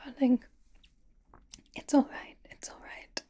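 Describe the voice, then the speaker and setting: A woman voicing a doting, possessive, elegant vampire in an intimate, coaxing, breathy whisper for an ASMR roleplay. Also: dark tone, close to an everyday voice. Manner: intimate, coaxing, slow and genteel.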